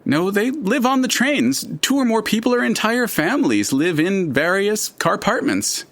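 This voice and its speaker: Man acting a theatrical narrator, lively and higher-pitched. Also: dry recording, full character voice. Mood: explanatory, playful. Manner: dramatic, deliberate storytelling. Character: chatty omniscient male narrator, theatrical and curious. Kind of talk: audio drama narration.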